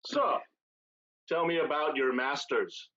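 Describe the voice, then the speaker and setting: A man playing a wry, ruthless pirate captain in a staged play reading, measured and authoritative, with dull recorded sound. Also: on the high side, pushed and bright, exaggerated voice. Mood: cool menace.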